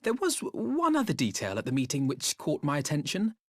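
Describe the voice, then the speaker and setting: Earnest, lively-pitched young scholar. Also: male voice, normal speed, dry recording, studio quality, heavily acted. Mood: thoughtful suspicion. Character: earnest, observant young male scholar, brother. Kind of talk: dramatic game voice acting.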